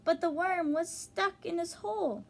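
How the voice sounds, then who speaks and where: A woman teacher reading a children's storybook aloud, pausing to explain and ask young listeners questions. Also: extremely high pitch.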